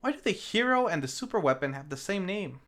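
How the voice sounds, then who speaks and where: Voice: medium pitch, big pitch swings, fairly quick, a bit bright. A film review host narrating a scripted recap in a casual, playful storytelling manner.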